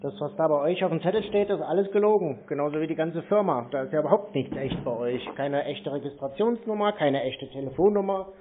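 Blunt, mocking male phone sparring partner, slightly high-pitched, thin phone-band audio. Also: lively intonation, a little fast, hardly any pauses. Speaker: scam-baiter prank call host. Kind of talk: confrontational phone conversation. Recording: tinny narrow phone-like sound.

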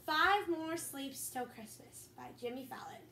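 A woman's voice: high-pitched, animated, measured pace. A young girl chatting to viewers and reading a storybook aloud. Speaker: host and storybook reader. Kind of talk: casual vlog and read-aloud storytelling. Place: echoey room, some distance from mic. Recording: roomy echo, faint background noise.

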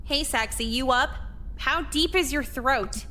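A high-pitched, sharp-edged teenage girl, brash and sarcastic, in a visual novel. She is blunt and foul-mouthed, with faint noise behind her. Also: measured pace. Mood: irritated. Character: brash, sarcastic seventeen-year-old high school girl on the witness stand.

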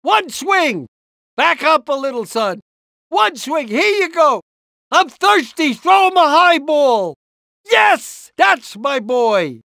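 A man's voice: very high voice, big pitch swings, slow pace, creaky, sharp tone, no room sound, clean studio sound, exaggerated voice. A man voicing an anxious, encouraging, portly middle-aged rooster father in a cartoon video game, with a hesitant, rambling, fatherly manner. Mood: excited cheering. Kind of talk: animated video game character lines.

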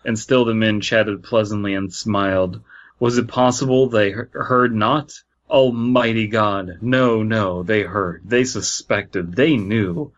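A man's voice: fairly low pitch, takes it slowly. A man hosting a podcast, reading a story aloud and breaking off to joke about it. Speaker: podcast host. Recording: slightly dull, lo-fi sound.

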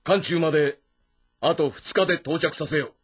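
A man playing an imperious warlord of ancient China, husky and forceful, commanding his army in dramatic game acting. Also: on the high side, moderate pace. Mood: fierce, commanding.

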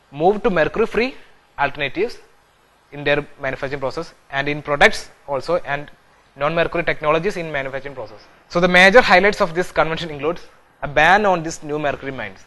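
A man's voice: fairly high pitch, fairly bright tone, low-quality recording. A young man teaching an exam-prep class, repeating key points with frequent "okay" and "so", recorded in a studio classroom. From India. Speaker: civil services exam teacher. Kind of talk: classroom lecture on video. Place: indoor classroom studio with whiteboard screen.

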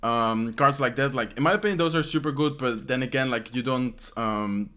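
A man's voice: medium pitch, normal speed, sharp tone, smooth. A young man from the Netherlands with a Dutch accent answers fan questions casually at home. He speaks English as a second language, rambles, and fills gaps with "like". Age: young adult. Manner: rambling, many "like" fillers and "how you say this" searches. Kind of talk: casual Q&A chat. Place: bedroom at home, indoors.

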